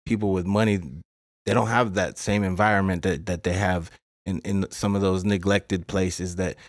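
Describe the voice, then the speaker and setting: Excited podcast host guy. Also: low voice, moderate pace. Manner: enthusiastic, stumbling, lots of fillers. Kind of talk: casual podcast interview.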